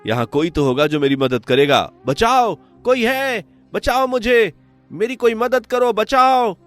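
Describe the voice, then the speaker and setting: A man voicing an honest, wronged young prince in an animated story, pleading and defiant. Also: medium pitch, brisk, faint music behind, lightly acted. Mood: desperate. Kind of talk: animated moral story voice acting.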